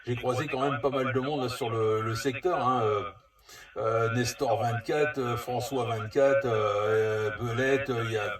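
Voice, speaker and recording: A man's voice: mid-range voice, steady tone, a little slow, a crowd in the background. Casting: male CB operator, casual and rambling, distant from the mic, roomy echo. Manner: rambling, hesitant. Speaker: CB radio operator. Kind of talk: casual two-way radio chat.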